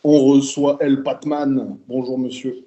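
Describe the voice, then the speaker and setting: Host: mellow-voiced French man in his thirties, hesitant delivery, echoey room. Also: medium pitch, flat delivery, unhurried, muffled recording. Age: 30s. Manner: hesitant, many fillers, friendly. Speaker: podcast host. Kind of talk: casual podcast interview. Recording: roomy echo.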